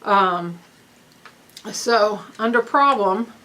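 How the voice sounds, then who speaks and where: A woman's voice: medium pitch, expressive, very slow, sharp tone. Patient woman walking through study forms. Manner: patient, step-by-step instruction with ums. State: a little stuffy-nosed. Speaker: research study coordinator. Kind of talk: explaining study paperwork to participants.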